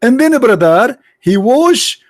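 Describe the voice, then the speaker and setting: A man's voice: very high-pitched, sing-song, slow pace, no echo, clean studio sound. A man with an Arabic accent and Arabic as his first language, hosting a livestream debate in a mocking, sarcastic way with broken English grammar. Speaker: livestream host.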